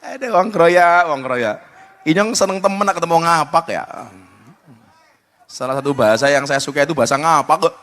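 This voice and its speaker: A man's voice: on the high side, normal speed, a bit bright. A man with a Javanese accent preaching in a joking, teasing way at a live religious gathering. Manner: joking, teasing, code-switching between Indonesian and Javanese. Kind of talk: humorous sermon with banter.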